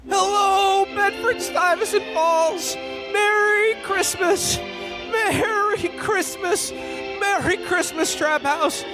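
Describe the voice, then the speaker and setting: A man plays a melodramatic everyman podcaster in a comic radio play. He pitches his voice very high and stammers theatrically. Music runs behind him. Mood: overjoyed. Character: distraught, melodramatic podcaster shown a world without him. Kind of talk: comedic radio play acting.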